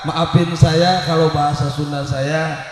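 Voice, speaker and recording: High-voiced male preacher in cavernous echo. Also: level tone, measured pace. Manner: apologetic asides to the audience when talking. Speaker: preacher leading sung praise and prayer. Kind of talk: chanted Islamic praise, prayer and informal address to a congregation. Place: mosque event, over loudspeakers. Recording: very echoey hall, music behind.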